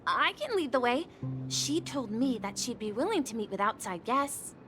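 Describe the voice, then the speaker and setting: A woman's voice: fairly high pitch, sing-song, normal speed, over music. A woman voicing a polite, eager, innocent young boy bellboy in a video game, earnest and slightly hesitant. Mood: reassuring, helpful.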